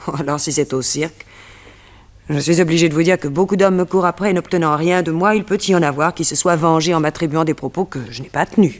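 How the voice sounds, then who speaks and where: A woman's voice: low voice, quick pace, natural voice. A beautiful, self-possessed woman played by an actress in a radio detective serial. She answers in composed, formal sentences and articulates with care. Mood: composed, defensive.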